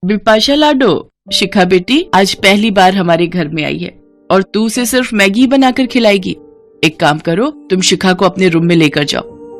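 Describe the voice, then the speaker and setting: A woman's voice: fairly low pitch, natural voice. A well-off middle-aged lady of the house, acted by a woman. She is hospitable yet commanding and insistent, in a cartoon family story with music behind. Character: wealthy, hospitable middle-aged mother running servants.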